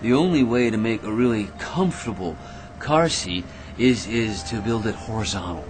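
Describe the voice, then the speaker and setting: An American actor in a radio drama playing a cocky, ordinary man who rambles smugly and stammers with excitement. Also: lowish voice, normal speed, slight background noise, natural voice. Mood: excited rambling. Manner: rambling, smug, stammering excitedly, pestering with tag questions. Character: cocky, chattering, ordinary man full of crackpot schemes. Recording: radio drama with music underneath.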